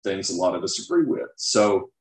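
A man's voice: sits low, expressive, normal speed. A man speaking as an expert guest in a conversational interview, explaining things and often checking in with "right?". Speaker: guest author and scientist. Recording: roomy, echoing sound.

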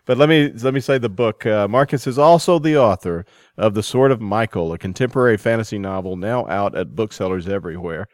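Low-voiced man hosting a podcast, dry sound. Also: fairly quick, no echo. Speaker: podcast host and interviewer. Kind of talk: podcast interview, introductions and questions.

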